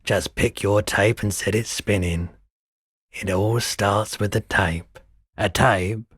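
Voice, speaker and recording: A world-weary pawnshop owner from a video game, male and very low in pitch. He takes his time over each phrase, speaking slowly and softly with a wistful, laid-back air. The voice is husky and sits close and dry. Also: fairly even intonation, no echo, full character voice. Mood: laid-back, obliging. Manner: unhurried, low-key, deliberate. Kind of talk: video game character dialogue.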